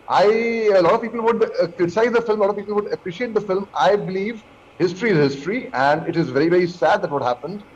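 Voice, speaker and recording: Indian guy on a news panel, kinda high voice, repeats himself to make his point, muffled lofi audio. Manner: emphatic, repeats phrases, halting. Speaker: panel guest commentator. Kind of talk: live news debate opinion. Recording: dull lo-fi call audio, clear noise.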